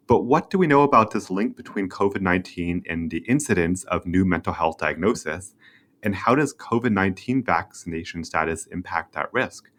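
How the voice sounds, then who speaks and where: Guy hosting a medical interview podcast, really low voice, scripted sounding intro and questions. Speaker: podcast host, journal web editor.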